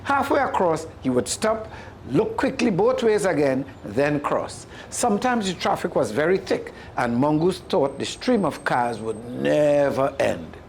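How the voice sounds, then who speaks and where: This is a Caribbean storyteller reading a folk tale aloud. The delivery is expressive, with a pitch that moves up and down in a lively way. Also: unhurried, a little hiss or hum.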